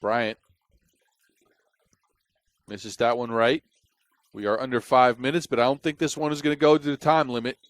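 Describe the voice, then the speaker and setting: A man's voice: medium pitch, steady tone, normal speed, pauses often, dry recording. Dutch man calling basketball. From Netherlands. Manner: chatty and wry, with jokes between plays. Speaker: basketball commentator. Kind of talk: play-by-play commentary.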